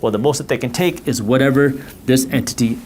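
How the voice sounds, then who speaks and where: A man's voice: medium pitch, a little fast, darkish tone. A man whose first language is Punjabi, explaining how to protect wealth in a whiteboard talk, stressing points by repeating words. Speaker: finance educator.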